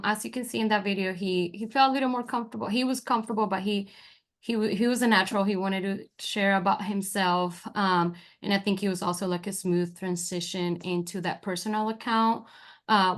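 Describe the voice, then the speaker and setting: A young woman with a Colombian accent, a forensic interviewer presenting a webinar and explaining training clips in a relaxed way, with frequent fillers. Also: medium pitch. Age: young adult. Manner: relaxed, with many fillers and restarts.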